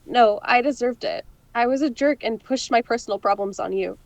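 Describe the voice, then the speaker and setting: A sardonic young woman played by an actress with a high voice, in romantic comedy audio drama. The sound is close and dry, with a dorky, everyday delivery. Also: normal speed, creaky, no echo. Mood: regretful. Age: young adult. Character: sarcastic lonely young woman, playful dorky friend.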